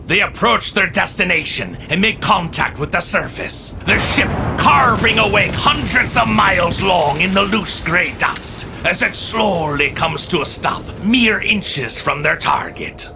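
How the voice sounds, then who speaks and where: An actor playing an excitable, jumpy pirate-radio DJ who talks in a showy, theatrical announcer style. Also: male voice, sits high, unhurried, creaky, few pauses, music in the background, heavily acted. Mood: dramatic storytelling. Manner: animated, chatty, showy. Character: excitable, jumpy male pirate-radio DJ living alone on a moon base. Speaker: radio DJ and narrator.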